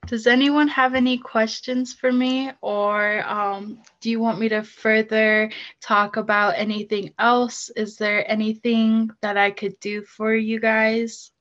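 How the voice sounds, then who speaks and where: A young woman speaking casually on a video call from a small room at home, soft-spoken and hesitant with many pauses. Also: on the high side, little pitch movement, lo-fi sound. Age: young adult. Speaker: guest speaker. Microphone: laptop webcam mic on a video call.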